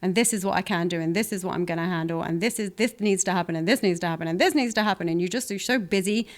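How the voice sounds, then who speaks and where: A woman's voice: fairly low pitch, rapid-fire. A woman in her thirties talking candidly as a podcast guest, reflective and chatty, often laughing. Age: 30s. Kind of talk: candid conversational interview.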